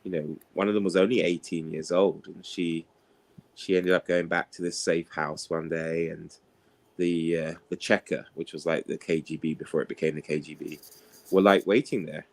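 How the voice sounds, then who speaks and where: Casual male talker, low register, loose anecdotal delivery for an informal book discussion. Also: flat delivery, moderate pace, gravelly. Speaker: participant in an online book discussion. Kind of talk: casual chat, anecdotal storytelling.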